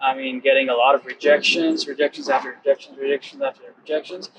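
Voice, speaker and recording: A man's voice: medium pitch, steady tone, fast talker, highs cut off, noisy background. A man in his twenties from Nepal with a Nepali accent, answering questions casually as an interview guest. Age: 20s. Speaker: interview guest, program alumnus.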